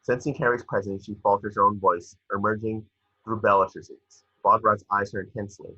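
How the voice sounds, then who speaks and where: Sharp, husky low-voiced man narrating. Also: fairly even intonation, brisk, no echo, dull audio. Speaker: narrator reading stage directions. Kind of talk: scripted narration at a screenplay table read.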